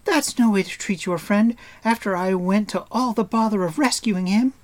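A man's voice: high voice, normal speed, pitched above the performer's own voice, close to an everyday voice. A man voicing an indignant young girl in a storybook reading, scolding as he speaks.

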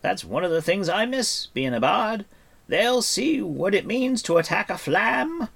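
Man acting out an excitable, high-pitched boastful bard. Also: moderate pace, gravelly, heavily acted. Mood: excited, battle-eager. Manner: exclamatory, grandiose, talkative. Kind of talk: audiobook character voice.

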